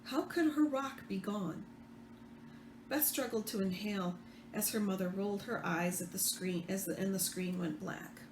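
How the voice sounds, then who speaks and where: A woman reading fiction aloud as the author, expressive, with the odd stumble. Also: mid-range voice, normal speed. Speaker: author reading own book. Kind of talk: read-aloud fiction narration. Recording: roomy echo, faint background noise.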